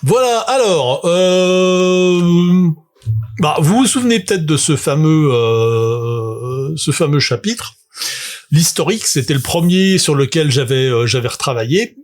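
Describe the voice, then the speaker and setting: A man's voice: a little high, expressive, takes it slowly, faint music behind. A man, rambling through a casual livestream full of fillers and self-mocking asides. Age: 40s. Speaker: tabletop game author and streamer.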